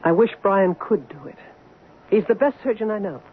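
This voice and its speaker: An actress playing an anxious, guilt-ridden doctor, earnest and emotional in an old-time radio drama style. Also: female voice, medium pitch, slightly rough, lightly acted. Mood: controlled, explaining. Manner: earnest, emotional, halting when distressed. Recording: tinny, hissy old radio broadcast.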